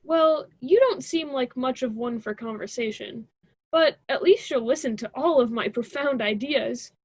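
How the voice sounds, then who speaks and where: A woman's voice: high-pitched, measured pace, slightly rough, exaggerated voice. A young woman playing a self-important, scheming college student in a radio drama, with a grandiose theatrical delivery. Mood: petulant. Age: young adult.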